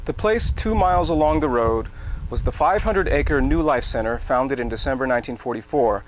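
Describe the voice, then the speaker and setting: A man narrating a scripted dramatic reading in a measured storytelling delivery. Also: medium pitch, expressive, low-quality recording.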